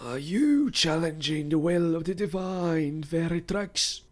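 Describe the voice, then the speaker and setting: A man's voice: on the high side, expressive, unhurried, soft background music, voice pushed up. A man reading the lines of a stern, ruthless middle-aged male village priest in a storybook, in a commanding tone that drags out emphatic words.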